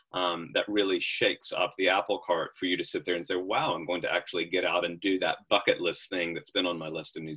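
A man's voice: low-pitched, bright, forceful tone, husky, low-quality recording. A middle-aged man with an American accent, a lodge owner talking enthusiastically in an interview over a call, with frequent restarts and fillers.

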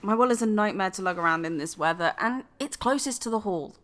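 Actress as brisk woman, bright sharp voice, medium pitch, grumbling, casual radio play. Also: expressive, natural voice.

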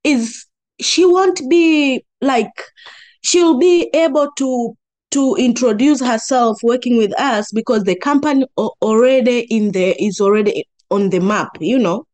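A woman's voice: on the high side, measured pace, darkish tone. A woman from Tanzania with a Swahili-accented English, speaking earnestly as an interview guest on a call and laughing often. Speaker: guest, entrepreneur. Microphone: video or phone call.

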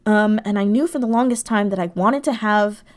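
A woman's voice: mid-range voice, a little fast. Chatty woman, effusive storyteller. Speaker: podcast guest, audio drama writer. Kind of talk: casual interview chat.

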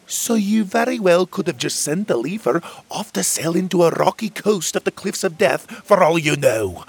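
A man's voice: sits high, big pitch swings, moderate pace, creaky, husky, pitched above the performer's own voice, full character voice. A man voicing a grumpy, chubby male butterfairy lord who scolds in old-fashioned words. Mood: scolding. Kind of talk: audiobook character voice.

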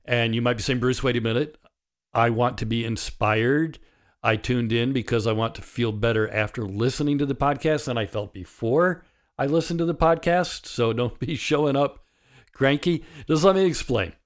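A man in his sixties with a raspy voice from a partly paralyzed vocal cord, talking candidly and wryly as host of a solo podcast. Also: medium pitch, normal speed. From United States. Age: 60s. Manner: candid, wry and conversational, with chuckles. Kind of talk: reflective solo podcast monologue.

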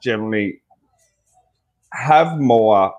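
An Australian man with a fairly low voice talks in an interview as an advocate. He is earnest and conversational, restating his points in parallel phrases to drive them home. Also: expressive, slow pace, a little mellow, muffled recording. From Tasmania, Australia. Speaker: interview guest, mental health advocate.

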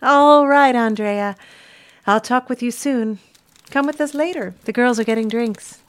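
An actress playing a gossipy, loyal married office woman, chatty, inquisitive and expressive, in an audio drama. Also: a little high, measured pace, natural voice. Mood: breezy, teasing.

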